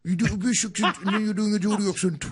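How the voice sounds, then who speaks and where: A man's voice: high voice, steady tone, husky. A man co-hosting a podcast in casual chat, making crude jokes. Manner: crude joking, fast talker. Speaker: podcast co-host.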